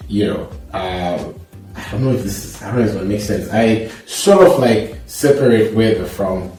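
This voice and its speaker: A young man from Zimbabwe hosting a casual panel chat, relaxed and jokey, thinking aloud with plenty of fillers. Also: lowish voice, moderate pace. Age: young adult. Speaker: host. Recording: echoey room, mic at a distance, music underneath.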